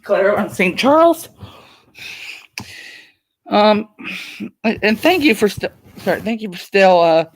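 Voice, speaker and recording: A woman's voice: mid-range voice, very animated, slow pace, pauses often. A woman hosting a live stream with casual commentary, speaking in a polite, apologetic way.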